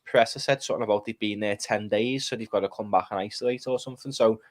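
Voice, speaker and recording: A man's voice: fairly low pitch, fast talker, no echo. A man, chatting casually and bluntly about football on a live fan stream. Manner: blunt, opinionated, swears. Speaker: podcast panelist.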